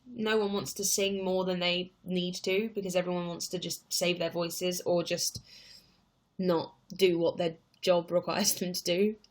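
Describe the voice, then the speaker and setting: A woman's voice: on the low side, flat delivery, measured pace. Chatty young woman reading out viewer questions. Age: 20s. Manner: chatty and rambling, reads viewer questions aloud. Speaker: livestream host answering viewer questions. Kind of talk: casual live chat.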